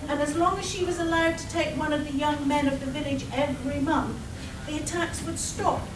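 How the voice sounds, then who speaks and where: A woman's voice: high-pitched, steady tone, fairly quick, lightly acted. A woman playing a melodramatic, evasive village woman in a live comedic radio play. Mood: ominous storytelling. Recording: echoey hall, far mic, noisy.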